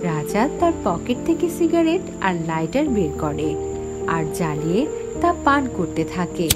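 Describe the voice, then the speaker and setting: A woman's voice: lowish voice, very animated, a little slow, loud music behind the voice. A woman voicing a wistful young village woman, narrating a scripted cartoon story with dramatic expression. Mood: suspenseful, wistful. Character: Nina, wistful young village woman recalling lost childhood friend.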